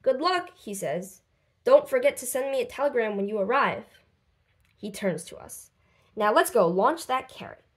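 A woman reading a children's book aloud expressively, with deliberate, dramatic phrasing and lifted voices for dialogue. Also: a little high, big pitch swings. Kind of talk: expressive storybook read-aloud.

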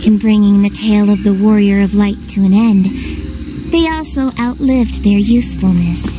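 A woman playing a cold, solemn villain in a video game, delivering a theatrical, ominous monologue in measured phrases. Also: mid-range voice, over music, close to an everyday voice, poor audio. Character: solemn, cold female villain foretelling the end of heroes. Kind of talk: scripted game cutscene monologue.

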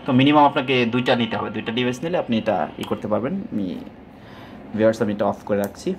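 A Bangladeshi man talks through an informal product demonstration in a lowish voice. He moves along quickly, repeating phrases and dropping in English words. There is faint room noise behind him. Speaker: product presenter and seller. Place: indoors at a table. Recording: clearly audible background ambient noise.

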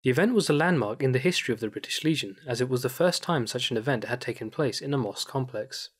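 A man narrating a scripted news report as a studio voice-over, in an even, measured way. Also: medium pitch, breathy edge.

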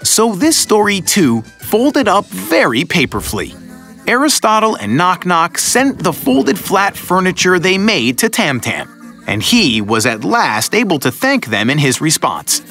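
A man narrating a children's story in a playful, expressive storybook manner. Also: sits high.

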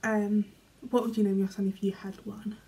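A young British woman vlogging from her bedroom, reading questions aloud and answering in a chatty, rambling way, often trailing off quietly. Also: mid-range voice, flat delivery, moderate pace, poor audio. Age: young adult. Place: small bedroom, indoors. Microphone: camera in front, medium framing.

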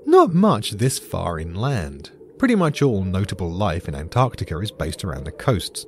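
British male explainer, dry and dramatic, lowish and animated, faint background noise. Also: moderate pace. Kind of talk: scripted podcast narration.

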